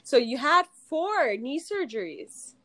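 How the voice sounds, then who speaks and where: Slow-talking woman podcast host. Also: sits high, big pitch swings, a bit bright. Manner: bubbly, laughs often. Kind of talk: casual podcast hosting.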